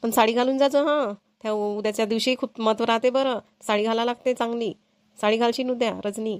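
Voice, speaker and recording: A woman's voice: fairly high pitch, steady tone, fast talker, no echo, close to an everyday voice. A woman voicing an older, traditional, instructive village mother-in-law in a cartoon, with a rural Vidarbha Marathi accent. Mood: instructing. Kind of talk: amateur cartoon comedy-drama dubbing.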